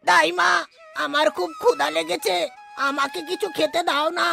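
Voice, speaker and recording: A woman's voice: very high-pitched, steady tone, moderate pace, vocal fry, pushed and bright, full character voice. A woman voicing a poor, hungry, devoted orphan child in a cartoon, pleading plaintively. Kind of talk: cartoon voice acting. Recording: faint background music.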